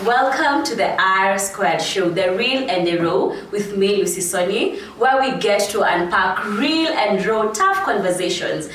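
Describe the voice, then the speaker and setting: A woman's voice: mid-range voice, lively intonation, unhurried, few pauses, fairly bright tone. Woman hosting from an echoey room. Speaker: talk show host. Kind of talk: casual, friendly interview hosting. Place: echoey indoor studio. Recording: echoey room, distant mic, faint noise.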